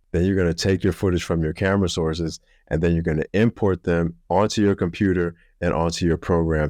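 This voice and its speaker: A man with a deep voice giving a practical, matter-of-fact how-to overview, speaking deliberately slowly and clearly. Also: darkish tone, dry recording, studio quality. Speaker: video creator giving a how-to. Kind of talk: instructional talk to camera.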